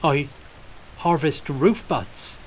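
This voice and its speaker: Man voicing a halting, timid young woman. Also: fairly high pitch, a little hiss or hum, voice pushed up. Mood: uneasy. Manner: halting, murmuring, unused to talking. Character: timid, hungry young woman, a mud-world scavenger.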